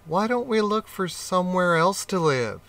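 A man doing a squeaky little girl rat. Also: normal speed, no room sound, far from the mic, full character voice. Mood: cheerful. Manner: high squeaky excited voice. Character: clever greedy female rat, small and squeaky. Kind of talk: children's storybook reading.

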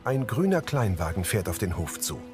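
A low-pitched man narrates the scenes of a drama in scripted present tense. His intonation moves freely, and music plays clearly behind him. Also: big pitch swings, normal speed. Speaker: audio-description narrator. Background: background music.

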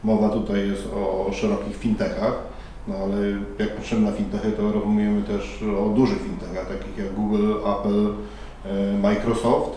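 A man's voice: lowish voice, level tone, moderate pace, dark tone, a little hiss or hum. A middle-aged man giving earnest, persuasive answers as a conference panel moderator, at a conference. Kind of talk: formal interview answers. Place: conference hall by a banner. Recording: echoey room from far off.

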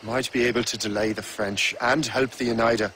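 An actor playing an earnest young fighter who speaks directly. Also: male voice, mid-range voice, fairly even intonation, brisk, fairly bright tone, slight background noise, lightly acted, low-quality recording. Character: young Irish-born assassin turned ally, earnest.

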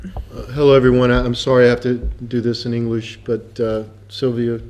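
An older American man, an activist and guest speaker, giving a talk in a conference room into a table microphone, pausing and restarting often. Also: medium pitch, flat delivery, a little mellow. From United States. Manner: halting, with frequent restarts and fillers. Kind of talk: lecture in English with consecutive interpretation.

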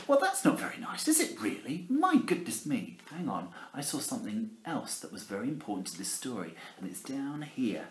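A man's voice: medium pitch, wildly swooping pitch, moderate pace, few pauses, a little hiss or hum. A middle-aged man with an English accent reads a picture book theatrically, with exaggerated emphasis and chatty asides to children. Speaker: primary school teacher reading a storybook. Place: living room at home, indoors. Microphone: camera at about arm's length. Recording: echoey room.

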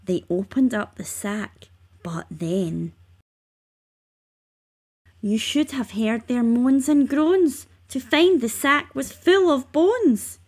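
A woman reading rhyming children's narration aloud, expressively and with measured emphasis. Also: sits high, darkish tone. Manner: measured, emphatic on sound words. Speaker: storybook reader.

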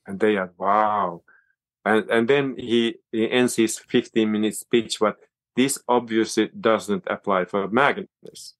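A man from Finland with a Finland-Swedish accent talks in a low voice, stopping and restarting as he hunts for words in English. The sound is dry and close, with no room around it. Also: steady tone, no echo, low-quality recording. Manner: halting, many restarts and fillers. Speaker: guest, yoga and cold-water teacher. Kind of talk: casual interview talk.

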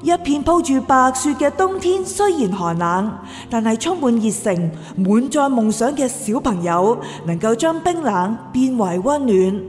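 Woman, radio announcer, high voice, scripted delivery, faint music behind. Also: big pitch swings, unhurried, hardly any pauses, darkish tone. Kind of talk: scripted broadcast announcement and credits. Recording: long reverb, echoing hall sound.

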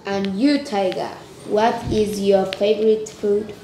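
A woman voicing an officious policeman puppet who questions formally and deliberately in an amateur scripted puppet show. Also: mid-range voice, fairly even intonation, warm tone, natural voice. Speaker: student puppeteer. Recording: roomy, echoey, noisy amateur recording. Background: noisy room ambience.

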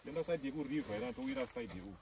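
A man talks fast in short, casual instructions, moving between languages as he goes. He is outdoors in the bush. Loud outdoor noise runs under his voice the whole time. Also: fairly high pitch, wildly swooping pitch, gravelly. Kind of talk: casual talk, switching languages.

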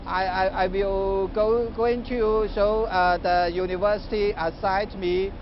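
A middle-aged man, answering a news interview on a city street with many pauses and filler sounds. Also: sits high, steady tone. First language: Chinese. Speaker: interviewee, political candidate. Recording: slightly dull street feed, faint traffic.

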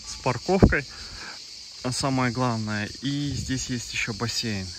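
A man's voice: mid-range voice, measured pace. A man from Kazakhstan casually narrating a travel vlog, chatty and talking to his viewers as friends while walking streets and driving. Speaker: travel vlogger. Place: outdoors in narrow town streets and in a car. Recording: outdoor noise in background.

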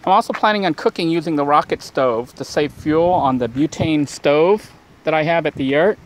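A man's voice: on the high side, moderate pace, slight background noise. Easygoing man rambling outdoors. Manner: relaxed, rambling with many fillers. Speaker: vlogger. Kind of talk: casual how-to narration while cooking. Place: outdoors by a van.

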